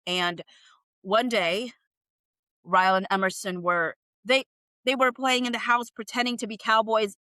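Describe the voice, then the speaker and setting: A woman acts out a shaken, grieving sister in a screenplay drama. She speaks earnestly and haltingly, with a quake of grief, in a bright voice recorded close and dry. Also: medium pitch, steady tone, no echo. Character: grieving, shaken woman pleading for her brother.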